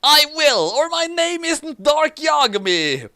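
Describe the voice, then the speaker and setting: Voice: high voice, very animated, slow, sharp tone, no echo, studio quality, pitched above the performer's own voice, heavily acted. An amateur reader playing a petulant, boastful young anti-hero of a parody story, in a declamatory way. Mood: defiant.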